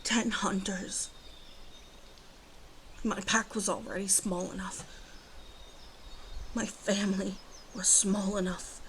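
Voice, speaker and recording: Girl doing a gruff guarded werewolf woman, asmr rp, real slow n halting, creaky voice, half whispering. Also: medium pitch, steady tone, slight background noise. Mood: solemn, bitter. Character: guarded, grieving female werewolf, gruff but softening. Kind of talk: ASMR roleplay monologue addressed to listener.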